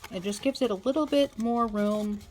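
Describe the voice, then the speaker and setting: A woman's voice: medium pitch, normal speed, a little mellow. A woman hosting a casual hands-on craft tutorial, thinking aloud in an informal way as she works at a table indoors. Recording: clearly noisy home recording with clicks.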